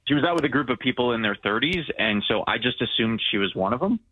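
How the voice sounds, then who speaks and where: A man's voice: medium pitch, animated, fast talker, dry recording. A man in his twenties calling in to a radio show, earnest and a little hesitant as he confesses. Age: 20s. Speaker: caller. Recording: tinny dry phone line.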